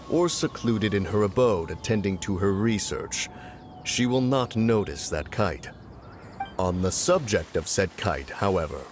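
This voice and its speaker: Man voicing a deep-voiced pompous ancient sage. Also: a little hiss or hum, lightly acted. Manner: lofty, formal, curious. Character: pompous ancient male adeptus, calm and inquisitive. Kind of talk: game voice acting.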